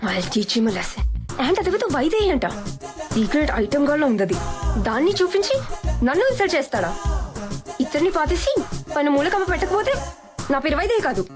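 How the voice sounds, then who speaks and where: An actress playing a feisty, vain, indignant young woman in a comedy film, sharp-tongued and dramatic, dropping in English phrases. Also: high voice, very animated, fairly quick, pauses often, music in the background. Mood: indignant, vengeful. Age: young adult. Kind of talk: Telugu film comedy acting.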